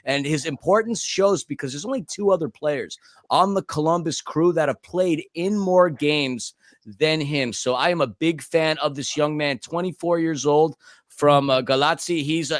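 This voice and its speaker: A man's voice: on the high side, dry recording, studio quality. A man whose first language is Romanian, raised in New York, chatting enthusiastically as a podcast panelist. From Queens, New York. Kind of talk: casual podcast discussion.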